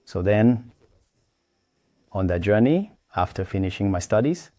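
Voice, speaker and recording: A man from Tanzania, an engineer, explaining his background and satellites in a halting way, with pauses and restarts. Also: fairly low pitch, expressive, warm tone, dry recording. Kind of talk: explaining his work to camera.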